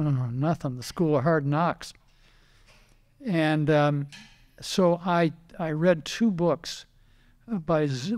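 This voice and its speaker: Older American man with a higher-pitched voice. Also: darkish tone. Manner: anecdotal storytelling, acting out remembered dialogue. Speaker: retired racing driver and team owner, interview guest. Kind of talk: casual reminiscing interview.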